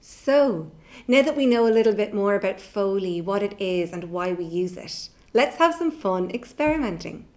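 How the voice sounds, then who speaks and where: A woman's voice: mid-range voice, animated, moderate pace, darkish tone. A middle-aged woman leading an instructional drama lesson for children, giving encouraging step-by-step directions. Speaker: workshop presenter for children. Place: hallway-like room in a house, indoors. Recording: roomy, echoey indoor sound.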